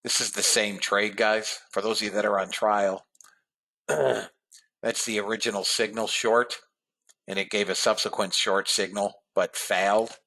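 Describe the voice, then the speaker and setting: A man hosting a live trading webinar, talking casually to his viewers and calling them guys and fellas, a bit hoarse. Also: fairly low pitch, steady tone, a little slow, pushed and bright, low-quality recording. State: slightly hoarse voice. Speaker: trading room host.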